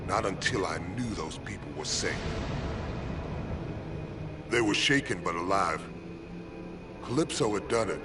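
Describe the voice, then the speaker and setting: A man's voice: low voice, animated, unhurried, pauses often. An actor playing an obsessed, vengeful police marksman, giving intense and grave hard-boiled narration for a game cutscene. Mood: grim, driven. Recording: game audio under loud music. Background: loud music.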